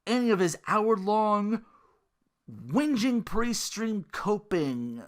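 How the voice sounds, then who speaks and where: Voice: mid-range voice, fairly bright tone. A podcast host in their thirties on a casual comedic rant, sarcastic and profane, sometimes slipping into mocking quotes. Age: 30s.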